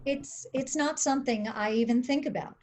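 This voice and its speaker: A woman's voice: high voice, normal speed, a little mellow, natural voice. A woman playing a shrewd, dryly skeptical studio boss in a screenplay table read.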